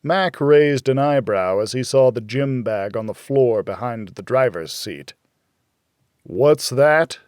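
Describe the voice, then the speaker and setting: A male audiobook narrator with a middle-pitched voice tells a first-person noir thriller. His delivery is measured and dramatic. The sound is very dry, like a recording booth. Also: a little mellow, clean tone, no room sound.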